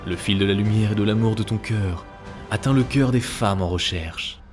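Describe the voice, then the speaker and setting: Deep-voiced male narrator over music. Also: moderate pace. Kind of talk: scripted narration.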